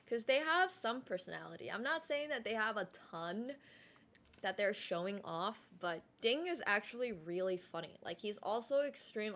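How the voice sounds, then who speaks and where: A woman's voice: medium pitch, gravelly, a bit bright. A young woman whose first language is Mandarin chats casually with her stream audience in a mid-range voice. She talks loosely and keeps going, filling gaps with "like" and restarting her sentences. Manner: chatty, many "like" fillers and quick restarts. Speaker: live streamer. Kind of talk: casual live-stream chat.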